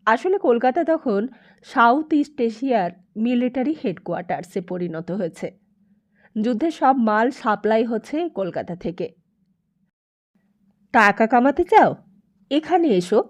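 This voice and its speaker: A woman narrating a literary story as an audiobook, in an expressive, emphatic, dramatic way. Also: on the high side, measured pace, long pauses.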